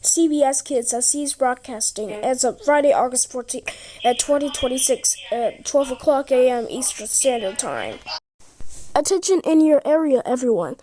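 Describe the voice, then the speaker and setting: A woman's voice: sits high, expressive, normal speed, mellow, a little hiss or hum, rough recording. A woman delivering a scripted emergency broadcast announcement in a formal, stilted manner.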